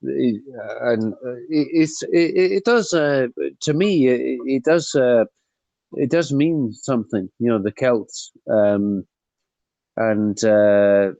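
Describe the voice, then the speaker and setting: A man with a Scottish accent from the Highlands, talking casually in a group discussion, hesitant with many fillers and restarts. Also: slow pace, dark tone, dry recording, highs cut off.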